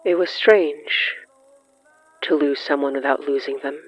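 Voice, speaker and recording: A dull, lo-fi recording with faint music underneath carries a narrator of horror fiction. The reading is very slow and brooding, dramatic in its delivery, with long pauses left between phrases. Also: medium pitch, steady tone, dry recording. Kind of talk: scripted horror fiction narration, third person. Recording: muffled lo-fi sound with music underneath.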